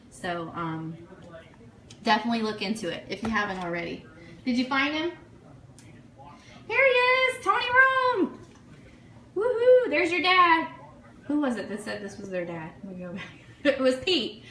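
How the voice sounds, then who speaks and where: A woman hosting a casual live stream show, chatting in a gushing, excitable way to her audience. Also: medium pitch, moderate pace, long pauses. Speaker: host of an internet radio show. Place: indoor room, live video stream. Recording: echoey room, distant mic, noisy background.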